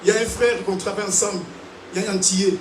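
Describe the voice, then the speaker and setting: A man from Africa preaching a sermon to a congregation, animated and calling out to them. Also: sits high, very fast. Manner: animated preaching with call-and-response. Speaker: pastor. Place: large church hall. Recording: echoey hall, distant mic, noisy.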